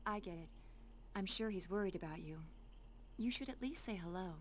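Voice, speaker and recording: A woman's voice: medium pitch, fairly quick, slight background noise, natural voice. An actress playing a friendly, self-assured adult woman scientist in a video game cutscene, conversational and familiar in manner. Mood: friendly, sympathetic.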